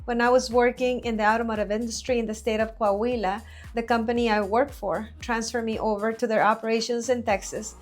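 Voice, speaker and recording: A woman in her forties from Mexico, a business owner answering interview questions in an earnest, measured way with frequent fillers, recorded in a studio. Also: fairly high pitch, flat delivery, faint music behind.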